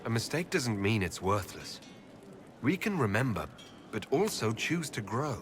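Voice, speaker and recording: A man's voice: mid-range voice, sing-song, a little slow, a bit bright, a little hiss or hum. A man playing an earnest, wounded elf hero in a fantasy video game, direct and firm. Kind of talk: dramatic video game acting.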